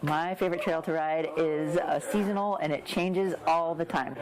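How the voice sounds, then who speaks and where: Low-voiced woman interview guest. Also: steady tone, moderate pace, music in the background, poor audio. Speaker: bike shop representative, interview guest. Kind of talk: conversational interview answers.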